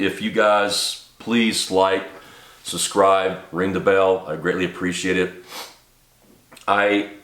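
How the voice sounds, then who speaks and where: A man with a very low voice talks to camera, a little stuffed up from a cold. He rambles earnestly, with lots of ums and restarts. The room around him is echoey. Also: a bit bright. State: congested from a cold. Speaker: channel host and small business owner. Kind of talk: casual talk to camera. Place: home office.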